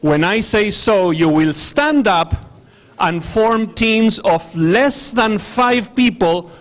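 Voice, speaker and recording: A man with an Argentine accent speaks in a notably high register, lively and directive as he instructs an audience. He sets out tasks step by step for a conference hall full of people. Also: slow. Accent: Argentine Spanish. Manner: animated, directive, addressing a crowd. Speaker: workshop facilitator.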